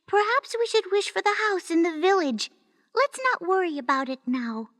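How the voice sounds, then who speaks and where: A woman's voice: extremely high pitch, animated, normal speed, a bit bright, pitched above the performer's own voice. A woman voicing a woodcutter's apologetic wife in a children's story, soothing in manner. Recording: reverberant room.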